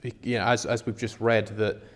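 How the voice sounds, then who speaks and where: A man's voice: on the low side, flat delivery, fairly quick. A man co-hosting a podcast, talking through ideas in a reflective, explanatory, conversational way. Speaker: podcast co-host. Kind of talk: conversational discussion. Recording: reverberant room with long echo.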